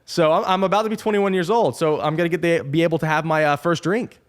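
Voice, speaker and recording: A man's voice: a little high, steady tone, quick pace. Chatty young man hosting a podcast. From Alabama. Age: 20s. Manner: rambling, chatty, upbeat with frequent restarts. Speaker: podcast host. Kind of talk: casual solo podcast intro.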